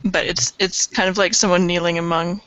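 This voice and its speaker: A woman's voice: fairly low pitch, little pitch movement, a little fast, fairly bright tone, smooth, dry recording. A woman casually narrating her character's actions as a player in a tabletop roleplaying game. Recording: dull, lo-fi group session recording.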